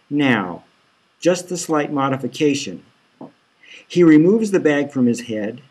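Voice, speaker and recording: A man reading his own dark satirical novel aloud as a scripted audiobook, in a deliberate, measured way. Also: mid-range voice.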